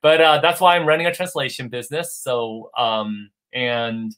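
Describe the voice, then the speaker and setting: A man's voice: medium pitch, steady tone, moderate pace, fairly bright tone. A man from California chatting casually on a video call, rambling enthusiastically with many restarts. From United States, California. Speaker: guest. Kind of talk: casual livestream chat.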